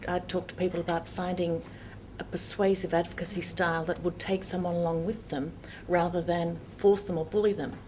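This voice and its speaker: Soft-voiced woman judge, measured. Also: fairly low pitch, little pitch movement, slight background noise. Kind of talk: panel discussion.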